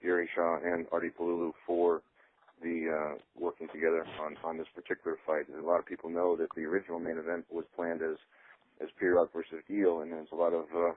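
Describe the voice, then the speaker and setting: A man giving an unscripted promotional statement as a boxing promoter on a conference call, measured, halting and matter-of-fact. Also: low-pitched, monotone. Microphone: telephone conference call. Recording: dry, narrow telephone line.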